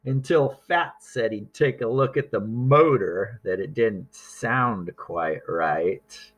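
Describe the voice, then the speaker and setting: Slow, husky man reading a storybook. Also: on the low side. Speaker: storybook reader. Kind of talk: children's read-aloud narration.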